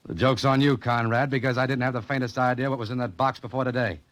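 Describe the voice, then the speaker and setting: An actor playing a cool, wry, tough mystery novelist turned sleuth in a 1940s radio drama. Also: male voice, medium pitch, steady tone, brisk, a bit bright, no room sound, close to an everyday voice. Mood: taunting. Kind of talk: 1940s radio drama acting with first-person narration. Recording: 1940s radio recording with music behind.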